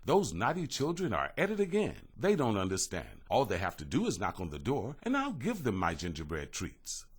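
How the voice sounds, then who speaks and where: A man's voice: on the low side, huge pitch swings, moderate pace, hardly any pauses, breathy edge, heavily acted. A man voicing a kindly old woman baker who fusses about naughty children. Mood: fussing.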